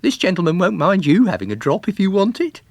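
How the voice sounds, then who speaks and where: A man's voice: sits high, big pitch swings, brisk, no echo, pitched above the performer's own voice, exaggerated voice. A man voicing a cheeky, pushy young lad in a story reading, playful in manner. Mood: coaxing. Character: cheeky young lad coaxing his girl.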